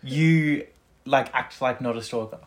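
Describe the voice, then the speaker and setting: A man's voice: medium pitch, measured pace, a loud crowd. A young man in a casual, rambling chat with a friend as a podcast co-host. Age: young adult.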